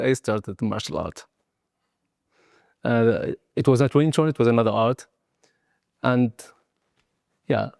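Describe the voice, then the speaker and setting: Older guy in his 60s from tunisia, not a native speaker, soft mellow voice, dry sound, kinda halting reflective interview talk. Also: mid-range voice, creaky, dry recording. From southern Tunisia. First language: not English. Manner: hesitant, broken phrasing in non-native English, frequent "you know" and "eh" tags. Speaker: guest, martial arts and Qigong teacher.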